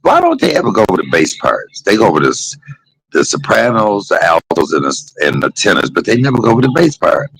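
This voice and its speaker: A man's voice: deep voice, wildly swooping pitch, quick pace, gravelly, a bit bright, rough recording. A man chatting casually from a hospital room, joking and teasing with bursts of laughter. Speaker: podcast host. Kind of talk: casual chat.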